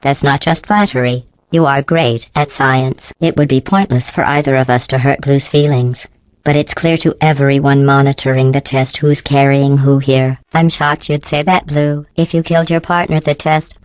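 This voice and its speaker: A woman playing a passive-aggressive artificial intelligence in a video game, deadpan and falsely polite, with a synthetic, processed robotic cadence. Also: moderate pace, smooth, no echo. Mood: sly, condescending. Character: passive-aggressive female artificial intelligence running lab tests. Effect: robotic pitch-corrected voice processing. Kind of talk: scripted video game voice acting.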